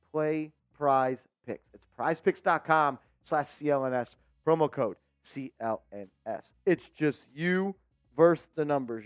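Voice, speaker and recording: High, clear-voiced male stream host. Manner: excited, calls plays and reads chat. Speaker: watch party host. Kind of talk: live-stream watch party chat and play-by-play.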